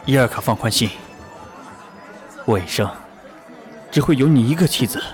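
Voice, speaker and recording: A man's voice: mid-range voice, expressive, moderate pace, soft background music, a faint crowd, lightly acted. A man playing a devoted young senior disciple and fiancé in a Chinese audio drama, earnest and reassuring. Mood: earnest devotion.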